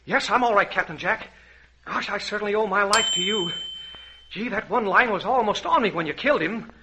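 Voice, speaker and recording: An actor playing an eager young safari sidekick, earnest and boyish, in vintage radio adventure style. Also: male voice, high voice, brisk, bright, forceful tone, a little hiss or hum. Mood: breathless gratitude. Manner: earnest, boyish, gee-whiz. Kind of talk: 1940s radio adventure acting. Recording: dull lo-fi vintage radio recording.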